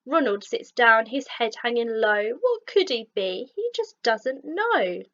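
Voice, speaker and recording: A woman with a fairly high voice reads a rhyming picture book aloud like a teacher. Her delivery is slow and expressive, and the recording sounds a little dull. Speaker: teacher reading a story. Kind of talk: children's rhyming storybook narration.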